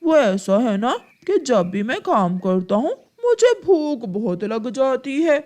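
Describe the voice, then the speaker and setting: A woman voicing a lazy, sly male crow in a children's cartoon, with a wheedling, drawn-out way of talking. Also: on the high side, big pitch swings, darkish tone. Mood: wheedling excuses.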